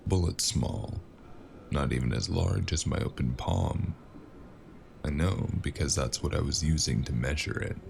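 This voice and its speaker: A man playing a very deep gravelly noir investigator. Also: a little mellow, slight background noise, heavily acted. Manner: dry, sardonic, unhurried. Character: weary wisecracking noir investigator, a mysterious male stranger. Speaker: narrator and lead character. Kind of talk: hardboiled noir audio drama narration.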